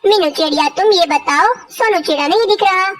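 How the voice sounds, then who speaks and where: A small cartoon forest bird for a children's moral tale. The voice is extremely high and childlike, with simple, exaggerated storybook delivery. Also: female voice, fairly quick. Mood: chatty, teasing. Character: cartoon forest birds, scheming, envious and put-upon, squeaky and childlike.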